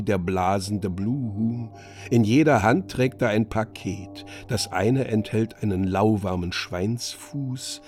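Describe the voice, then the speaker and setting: A man narrating a literary radio drama, reading scripted stage directions in a measured manner. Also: fairly low pitch, darkish tone.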